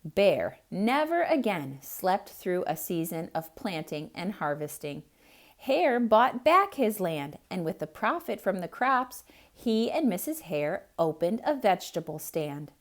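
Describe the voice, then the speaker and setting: A woman reading a picture book aloud to children, pausing to point things out and ask them questions. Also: on the low side. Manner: slow, expressive, asks children questions. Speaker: children's storyteller.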